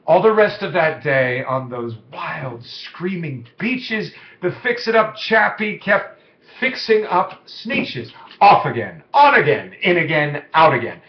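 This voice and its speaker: A man's voice: fairly high pitch, sing-song, takes it slowly. A man reading a children's rhyming story aloud, with theatrical cadence and big shifts in volume. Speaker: storybook reader. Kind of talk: dramatic children's story narration. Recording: muffled lo-fi, echoey room.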